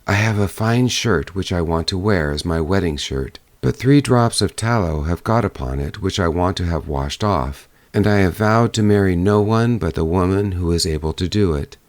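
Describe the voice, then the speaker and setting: Storybook prince, young yet low-pitched, earnest and commanding, close dry sound; male reader plays him. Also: lively intonation, moderate pace, hardly any pauses, no room sound. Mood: resolute.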